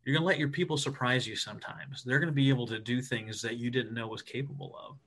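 A man from the United States, a Navy officer guesting on a podcast, talking in an animated, emphatic and self-deprecating way. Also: medium pitch, fast talker. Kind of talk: casual podcast interview.

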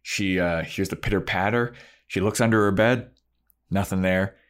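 A man's voice: low-pitched, normal speed. A man co-hosting a podcast, retelling a story in an informal, joking way. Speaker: podcast co-host. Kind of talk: casual chat, retelling a TV episode with acted-out quotes.

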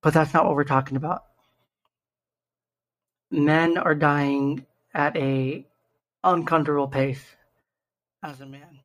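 A young man with a slightly raspy, hoarse voice, earnestly hosting a solo podcast and slowing down to stress key numbers. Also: on the high side, level tone, fairly bright tone, poor audio. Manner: earnest, stresses numbers slowly, self-interrupting restarts. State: hoarse from shouting the day before. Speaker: podcast host.

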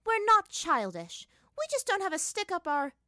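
A woman voicing a cruel, haughty young princess who mocks and threatens loudly in a storybook reading. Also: extremely high pitch, sing-song, moderate pace, no echo, clean studio sound, pitched above the performer's own voice. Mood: teasing.

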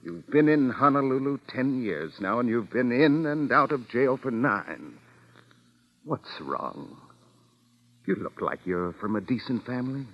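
A stern, fatherly judge in old-time radio style. He scolds with strongly varied pitch and firm weight. The sound is dull and aged. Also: sing-song, measured pace. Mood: scolding. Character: stern, exasperated, paternal male judge. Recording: muffled old radio recording.

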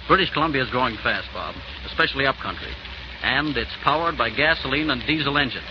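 A man's voice: medium pitch, animated, fast talker, natural voice. An actor playing a friendly, enterprising businessman with a casual manner in a 1940s radio drama. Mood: tentative, persuasive. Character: friendly, enterprising businessman pitching a partnership to an old pal. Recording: noisy, dull 1940s radio broadcast.

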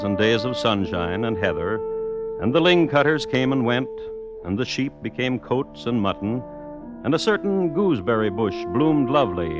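An actor playing the wry storyteller of an old-time radio crime drama, narrating in literary, deadpan phrases. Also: male voice, mid-range voice, natural voice. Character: wry male storyteller of true crime tales. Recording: dull old radio recording.

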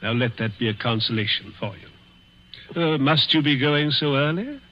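A man's voice: medium pitch, normal speed, natural voice. An actor playing a suave, menacing stepfather with mock-genteel, hesitant politeness in a 1940s radio thriller. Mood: mock-kindly. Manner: mock-genteel, drawling hesitations, sinister chuckles. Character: suave menacing stepfather tormenting his stepson with threats. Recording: muffled, noisy 1940s radio recording.

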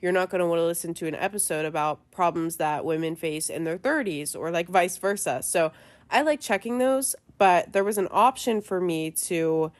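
A woman's voice: on the low side, moderate pace. A young woman hosting her own podcast in a chatty, casual way with many fillers, her voice stuffy and nasal from a cold. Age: young adult. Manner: chatty, lots of fillers and likes. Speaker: podcast host. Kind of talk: casual chat.

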